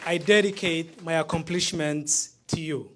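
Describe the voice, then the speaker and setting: A man in his thirties from Somalia, speaking with a Somali accent as he gives a prepared, heartfelt graduation speech, pausing between phrases. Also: fairly high pitch, steady tone, a crowd in the background, noisy background. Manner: measured, heartfelt delivery with pauses between phrases.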